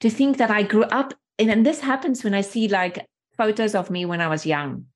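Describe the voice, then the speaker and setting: A South African woman in her forties with a medium-pitched voice, whose first language is Afrikaans. She talks in a reflective, candid way, like a guest sharing personal experience in a conversation. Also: moderate pace. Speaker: interview guest.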